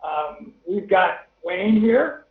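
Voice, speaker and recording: A man's voice: sits high, very animated, extremely slow. A man hosting a webinar, chatting casually with many fillers. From Northern Colorado. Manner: chatty, rambling, lots of fillers. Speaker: webinar host. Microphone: video call. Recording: tinny phone-like line, roomy echo.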